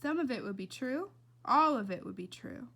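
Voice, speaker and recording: A woman reading a children's novel aloud as an expressive storyteller. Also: sits high, normal speed. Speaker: reader.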